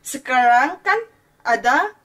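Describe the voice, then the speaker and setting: Young Malaysian-accented woman YouTuber, bright higher register, earnest and chatty, mixes languages mid-sentence. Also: expressive, takes it slowly. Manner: earnest, code-switching, often restarts words. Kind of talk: vlog commentary.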